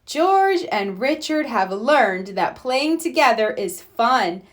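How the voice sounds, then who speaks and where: A woman narrating a children's storybook in an expressive way for young listeners. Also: fairly high pitch, takes it slowly. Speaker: read-aloud storyteller.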